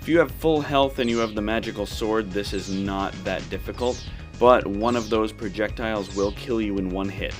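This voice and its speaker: A man's voice: lowish voice, normal speed, faint music behind. A man giving casual step-by-step commentary on a video game playthrough. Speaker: gaming video host.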